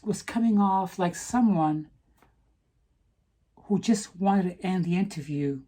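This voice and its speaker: A woman talking earnestly to camera in a personal vlog, stopping to ask "okay?" for emphasis, with some halting restarts. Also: fairly low pitch, dark tone, breathy edge. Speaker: vlogger.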